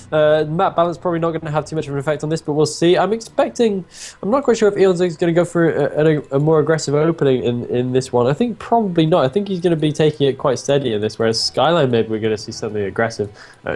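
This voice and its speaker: A man's voice: a little high, quick pace, a little mellow, soft background music. A man with a British accent co-commentating an esports match in a wry, self-mocking, chatty way. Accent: British English. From Britain. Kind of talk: casual co-commentary.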